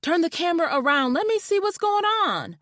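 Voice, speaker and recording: A woman voicing an excitable, emotional adult sister on a video call, in an audiobook. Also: medium pitch, slow, no room sound, clean studio sound, pitched above the performer's own voice, lightly acted. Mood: excited. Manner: lively, emotional, teasing. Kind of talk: audiobook narration.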